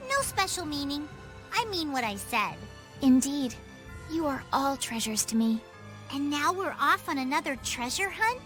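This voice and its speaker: A woman's voice: sits high, sing-song, normal speed, a bit bright, soft background music. A woman voicing a playful, clingy young girl treasure hunter in a video game. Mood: playful, affectionate.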